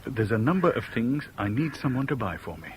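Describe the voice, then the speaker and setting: Brooding reluctant hero for vintage radio thriller man acting: weary, dry, expressive mid-range voice, slight tape hiss. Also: medium pitch, fairly quick, natural voice. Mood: troubled, subdued. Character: brooding, reluctant middle-class man caught in intrigue. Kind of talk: 1970s radio drama acting, with first-person narration. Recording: hissy 1970s radio broadcast recording.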